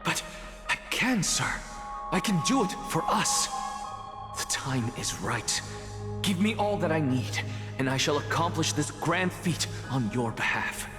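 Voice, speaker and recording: An actor playing a proud, defiant and ambitious young scholar in a video game, speaking in an articulate, theatrical and arrogant way. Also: male voice, fairly high pitch, expressive, a little slow, over music. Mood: impassioned, insistent. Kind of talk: video game story acting.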